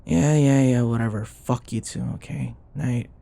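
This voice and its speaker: A man's voice: mid-range voice, steady tone, normal speed, vocal fry, dark tone, natural voice. A man acting a grumpy, sarcastic office rival who grudgingly cares, in an intimate, blunt, conversational roleplay style. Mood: tired, irritated. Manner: exasperated, blunt, conversational, sighing.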